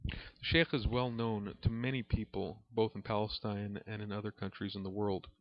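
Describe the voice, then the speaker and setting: A man reading aloud from a spiritual book as a lesson, in a measured, reverent manner. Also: on the low side, level tone. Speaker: reader and religious teacher. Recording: dull, lo-fi recording in an echoey room.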